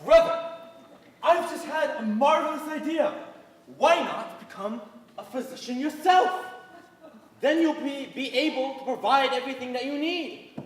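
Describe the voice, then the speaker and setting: Actor as dry-witted brother, high voice, distant in an echoey hall. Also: male voice, a little slow, a little hiss or hum. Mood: teasing. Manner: wry, teasing. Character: sensible, teasing brother. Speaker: stage actor. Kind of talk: stage play performance.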